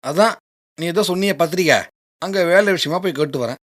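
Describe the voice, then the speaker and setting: A lazy young sponger in a radio drama. His voice is highish, sharp and very animated, slipping into excuses. It is recorded dry. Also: brisk, no echo. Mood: casual, evasive. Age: young adult. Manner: evasive, stammering excuses. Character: idle, evasive young male freeloader friend. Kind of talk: Tamil radio drama acting.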